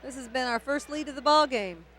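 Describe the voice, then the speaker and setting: Fast-talking woman on home-team color commentary. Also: high-pitched, noisy background. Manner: rapid, supportive of the home team. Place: gym during a high school game.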